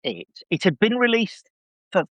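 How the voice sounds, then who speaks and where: A middle-aged man guesting on a podcast, chatting casually in an enthusiastic, halting, emphatic way. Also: high voice, big pitch swings, a bit bright, no echo, lo-fi sound. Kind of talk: casual chat.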